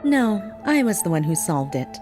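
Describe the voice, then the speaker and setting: A calm, perceptive young teacher, voiced by a woman, composed and firm with a lively, expressive pitch. Light music plays behind her in this manga dub. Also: moderate pace.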